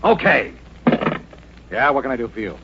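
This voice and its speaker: An American man playing a slick, self-important, fast-talking Hollywood agent in an old-time radio drama. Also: mid-range voice, sing-song. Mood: slick, coaxing. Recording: dull noisy old radio recording.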